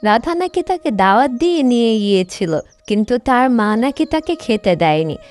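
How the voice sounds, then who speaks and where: Animated village mother voiced by a woman. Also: on the high side, a little fast, faint music behind. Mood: fretful. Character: fisherman's wife, caring village mother. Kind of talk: Bengali moral-story cartoon dialogue.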